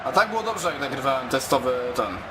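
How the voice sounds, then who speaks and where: A man commentating casually on his own video game play in an excitable, informal way, with speech. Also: medium pitch, fairly quick, background noise. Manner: excitable, exclaiming, informal with swearing. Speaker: gaming YouTuber.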